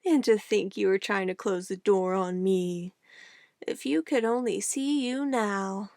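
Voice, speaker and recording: A woman playing a sweetly menacing, possessive witch in an ASMR roleplay, cooing and coaxing condescendingly in a soft voice. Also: medium pitch, a little slow, no echo, studio quality, natural voice. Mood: gushing, adoring. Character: possessive young-sounding witch, sweetly menacing, doting on her familiar.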